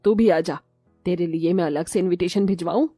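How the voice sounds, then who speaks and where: A snobbish, scolding cartoon mother-in-law, played by a woman. Her voice is soft-toned and slightly rough, closely recorded and dry, over music. She is sharp-tongued and sarcastic. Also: on the low side, normal speed, dry recording, close to an everyday voice. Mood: scornful, bossy. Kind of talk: Hindi cartoon moral-story voice acting.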